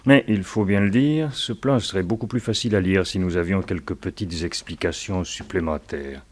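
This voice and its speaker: An actor playing a calm, menacing villain in a French radio serial, suave and mock-polite in his measured delivery. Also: low-pitched. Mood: smug, calm.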